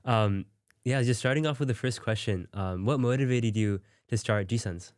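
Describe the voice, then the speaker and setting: A young male interviewer with a low, smooth voice asks questions in a friendly, eager way, stumbling over fillers. The sound is dry and close. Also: moderate pace, a little mellow, no room sound. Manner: friendly, filler-heavy, enthusiastic. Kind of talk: casual interview.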